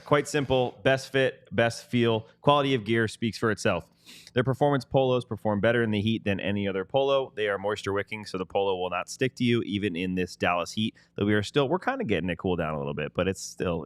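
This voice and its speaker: Male podcast co-host, lowish voice, casual sponsor reads with ad-libs, dry close recording. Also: expressive, brisk, no room sound.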